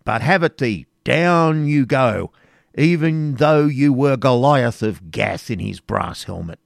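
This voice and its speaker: A man's voice: lowish voice, expressive, measured pace, gravelly, darkish tone, no echo. Male reader as belligerent storybook friar: burly, bombastic, archaic diction, dry sound. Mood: defiant. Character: burly, belligerent, blustering medieval friar, quick to fight.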